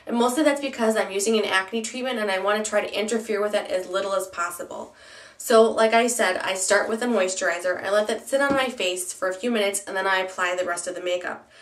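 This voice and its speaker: A young woman talks through her favorite products in a relaxed, chatty way, her voice stuffy and nasal from a cold. She trips over words and fills gaps with ums. The sound is roomy and a little distant, as if the mic sits across the room. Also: mid-range voice. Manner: chatty, with frequent ums and restarts. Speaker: beauty vlogger. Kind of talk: casual product review.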